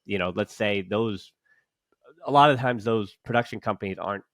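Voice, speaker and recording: A man's voice: on the low side, normal speed, fairly bright tone, dry recording, clean studio sound. A man hosting a casual podcast, talking in long rambling sentences with many restarts and fillers. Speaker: podcast host.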